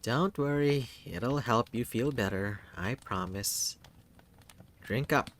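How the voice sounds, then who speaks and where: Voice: medium pitch, slow pace, fairly bright tone, slight background noise. A performer voicing a friendly, eccentric horned forest creature in a visual novel, playful and eager, with a hesitant, chatty lilt. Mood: gentle, reassuring. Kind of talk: visual novel character reading.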